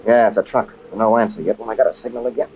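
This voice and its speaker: An actor playing a tough, loyal American adventurer with terse, slangy, hard-boiled lines in a 1940s radio drama. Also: male voice, fairly low pitch, steady tone, fast talker, natural voice. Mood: tense, urgent. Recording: muffled vintage radio recording, faint noise.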